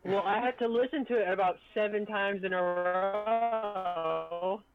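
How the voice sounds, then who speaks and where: A man's voice: high-pitched, measured pace, narrow, tinny audio, soft background music. A man chatting casually as a panelist on a livestream. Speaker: stream panelist, editor.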